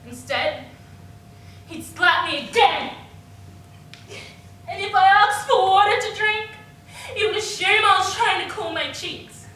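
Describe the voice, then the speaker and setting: A woman playing an anguished young woman in a theatrical monologue about abuse, with halting delivery and dramatic pauses. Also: far from the mic. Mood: bitter distress. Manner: halting, dramatic pauses, swinging from hushed to screamed. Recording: echoey room from afar, noisy with rumble.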